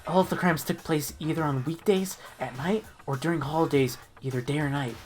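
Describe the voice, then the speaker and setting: A woman reading a visual novel game aloud in an amateur dramatic style, expressive and stumbling over her words. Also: low-pitched, a little fast. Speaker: reader of the protagonist's lines in a group playthrough. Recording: music playing behind the voice. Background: game music.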